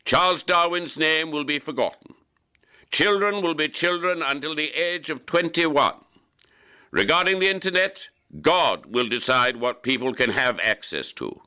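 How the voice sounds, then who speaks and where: A man's voice: on the high side, lively intonation, measured pace, sharp tone, clean tone, exaggerated voice. A man playing a zealous authoritarian religious ruler, declaiming commands in an audio drama. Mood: stern, zealous. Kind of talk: scripted speech in an audio drama.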